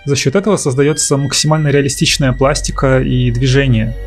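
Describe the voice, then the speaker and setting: A man's voice: mid-range voice, steady tone, brisk, soft background music. A man giving a casual scripted voice-over review as the host.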